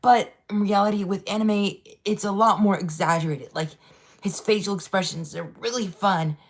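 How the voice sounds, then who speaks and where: A woman's voice: mid-range voice, steady tone, moderate pace. A woman hosting a casual livestream, chatting in an upbeat way, repeating herself and greeting viewers as they arrive. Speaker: livestream host.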